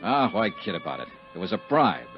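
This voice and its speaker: An american radio detective, terse and sardonic, lively delivery, dull 1950s recording with faint music. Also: male voice, on the low side, a little fast. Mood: brisk narrating. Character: hardboiled, wisecracking American insurance investigator.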